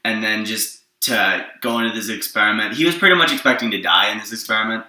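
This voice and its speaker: Lowish, sharp-edged man, distant and echoey. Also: quick pace. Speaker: co-host of a comics story show. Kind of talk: casual unscripted chat. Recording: roomy echo, distant from the mic.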